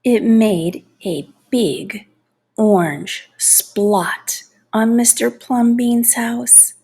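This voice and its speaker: A woman reading a children's story aloud expressively and deliberately, lingering on key words. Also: fairly high pitch, warm tone, breathy edge. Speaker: storybook reader.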